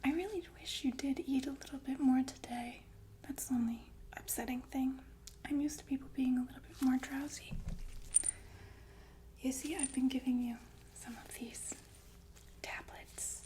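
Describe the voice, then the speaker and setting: A woman playing a calm, sinister killer nurse in a whispered ASMR roleplay, speaking politely and matter-of-factly with hesitant, unhurried phrasing. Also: sits high, slight background noise, lightly acted. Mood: smug, confiding. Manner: unhurried, hesitant, softly matter-of-fact about death. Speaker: ASMR performer.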